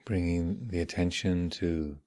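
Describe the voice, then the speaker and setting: A man leading a guided meditation as a teacher, speaking in measured, contemplative short phrases with long reflective pauses. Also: low-pitched, fairly even intonation, warm tone. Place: meditation retreat hall.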